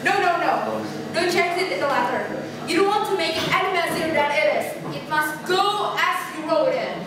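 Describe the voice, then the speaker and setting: A teenage girl playing a stern, sarcastic male boarding-school headmaster in a school readers theater, smooth with parents and cutting with pupils. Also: extremely high pitch, expressive, normal speed, a crowd in the background. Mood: scolding. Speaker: student actor. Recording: echoey classroom, distant mic, loud noise.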